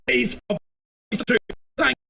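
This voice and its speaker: A man's voice: moderate pace, bright, forceful tone, slight background noise. A man giving an impassioned, declamatory political speech at an outdoor rally. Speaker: rally speaker.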